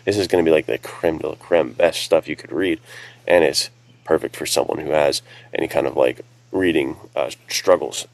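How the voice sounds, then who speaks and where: A man's voice: a bass voice, moderate pace, creaky, dry recording. A man co-hosting a podcast, speaking in a casual, conversational way. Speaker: podcast co-host and writer.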